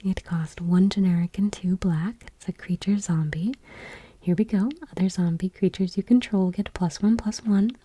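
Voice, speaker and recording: A woman speaking in a soft, breathy whisper, reading aloud gently and unhurriedly in an ASMR style. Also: mid-range voice, steady tone, normal speed, no room sound. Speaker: host of an ASMR channel.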